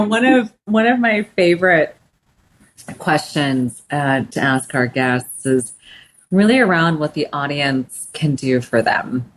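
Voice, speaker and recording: A woman's voice: low-pitched, a little hiss or hum, rough recording. A woman hosting a podcast interview, asking long, rambling questions with enthusiasm. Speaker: podcast host.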